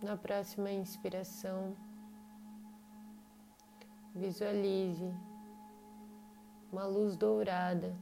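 A Brazilian woman guides a meditation at a very slow pace. Her delivery is even, with little rise and fall, and she leaves long pauses between short phrases. Clear meditative music with singing bowls plays behind her voice. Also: mid-range voice.

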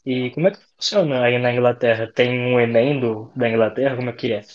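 A Brazilian man co-hosting an interview who asks questions in a measured, even way. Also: mid-range voice. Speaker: podcast co-host. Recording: dull lo-fi sound, heard from a distance.

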